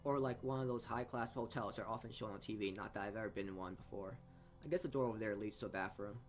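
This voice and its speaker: Guy doing a lets play reading, stumbles and rereads lines, music playing behind him. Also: fairly low pitch. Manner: halting, stumbling over words and re-reading. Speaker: let's play host reading a visual novel aloud. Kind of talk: reading game text aloud with asides. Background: game music.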